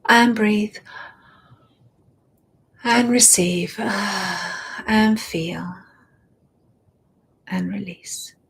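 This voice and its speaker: A woman guiding a meditation, speaking softly and soothingly and often dropping into a whisper. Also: medium pitch, vocal fry, husky. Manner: slow, soft and soothing, drifting into whispers. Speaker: meditation guide. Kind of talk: guided meditation.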